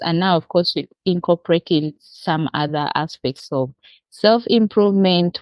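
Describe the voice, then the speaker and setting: A woman's voice: fairly low pitch, no echo. A woman from Uganda with a Ugandan accent, explaining her work earnestly as an interview guest. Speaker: interview guest, social worker. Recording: dull, muffled lo-fi call audio.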